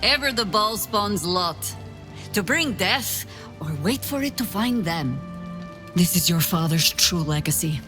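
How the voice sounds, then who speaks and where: A solemn, protective companion from a dark fantasy game. The voice sits at a middle pitch and gives thoughtful, earnest counsel with faint music behind it. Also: lively intonation, unhurried. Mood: probing, grave. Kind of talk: dramatic video game dialogue.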